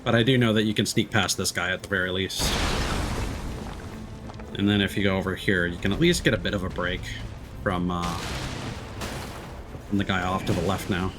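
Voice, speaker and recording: Low-voiced man streaming a game over music. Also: fairly even intonation, moderate pace, pauses often. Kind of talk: casual live gameplay commentary.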